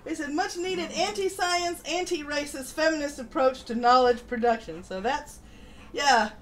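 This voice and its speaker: A woman's voice: high voice, normal speed. A woman co-hosting a casual live stream, reading things aloud and chatting in an irreverent, sweary, jokey way. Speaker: co-host of a live stream show. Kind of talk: casual chat, reading articles and memes aloud.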